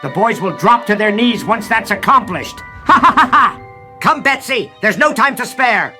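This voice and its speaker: Shrill, high-pitched wicked mad scientist. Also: male voice, expressive, moderate pace, bright, forceful tone, faint music behind, full character voice. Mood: gloating, scheming. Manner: theatrical, gloating, barking orders. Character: gleefully wicked mad scientist villain, scheming and bullying. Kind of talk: comic dub voice acting.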